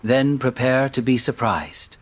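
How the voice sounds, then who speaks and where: A man's voice: medium pitch, lively intonation, measured pace. A man playing a teasing young guide. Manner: teasing, skeptical, playful. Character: teasing young Filipino man who knows a hidden kingdom. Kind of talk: amateur animated voice acting.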